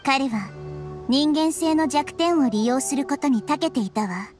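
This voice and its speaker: A woman voicing a gentle, wise child-like girl deity in a Japanese anime game, measured and reflective. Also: high-pitched, expressive, music in the background. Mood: thoughtful, earnest. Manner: measured, soft-spoken, reflective.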